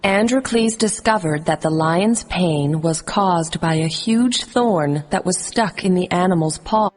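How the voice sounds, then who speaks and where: A woman reading scripted narration for a children's animated story. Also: on the low side, unhurried, a little hiss or hum. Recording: music underneath.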